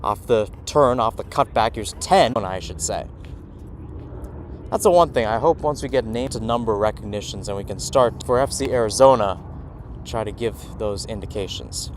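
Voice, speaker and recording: A man's voice: on the low side, moderate pace. A man doing live soccer play-by-play for radio from beside the field, mixing clipped calls with rambling asides. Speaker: play-by-play commentator. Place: outdoors at a youth soccer field. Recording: noisy outdoor ambience, traffic hum.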